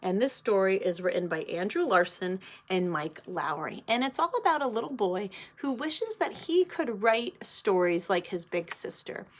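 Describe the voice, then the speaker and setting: A woman teacher reading a picture book aloud to children, stopping to ask them questions. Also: mid-range voice. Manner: animated, addresses children directly. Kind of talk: read-aloud storytime for children.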